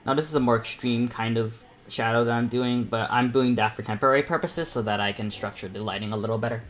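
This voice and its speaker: A man's voice: medium pitch, fairly even intonation, quick pace, a little hiss or hum. An eighteen-year-old man hosts a painting panel, talking casually and informally, rambling and thinking aloud as he works. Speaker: self-taught artist hosting a painting panel. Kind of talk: casual live painting tutorial chat.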